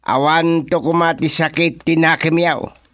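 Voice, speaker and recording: A man with an Ilocano accent playing an authoritative middle-aged village chairman in a radio comedy-drama, speaking in a deliberate, speechifying way. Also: a little high, steady tone, loud music behind the voice, close to an everyday voice. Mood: firm, placating.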